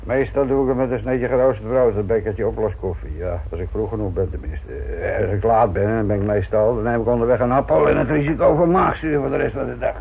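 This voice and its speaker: A man's voice: mid-range voice, fast, creaky, few pauses, husky. An actor playing a wry, teasing middle-aged police inspector in a Dutch radio play, nonchalant and colloquial in his talk. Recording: muffled phone-like old radio recording.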